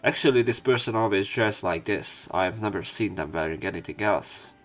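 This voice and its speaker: A man reading visual novel narration aloud as a let's play commentator, somewhat halting and sick with a cough. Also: on the low side.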